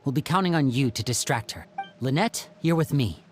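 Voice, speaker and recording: An actor playing a composed, persuasive young male team leader in a video game, measured and articulate. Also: medium pitch, lively intonation, faint music behind, close to an everyday voice. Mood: decisive.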